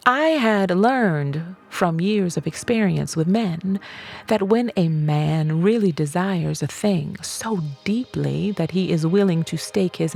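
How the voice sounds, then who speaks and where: A woman reading an audiobook as scripted narration, in a measured, deliberate way with emphatic phrasing. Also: lowish voice, gravelly, a little mellow. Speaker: audiobook reader.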